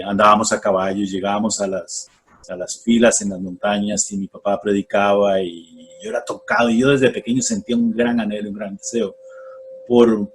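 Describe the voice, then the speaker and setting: A man in his forties from Costa Rica with a Costa Rican accent, giving a reflective, unhurried testimony as a guest on a radio interview, with many pauses and fillers. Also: lowish voice, slight background noise, poor audio. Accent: Costa Rican Spanish. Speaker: guest, former missionary.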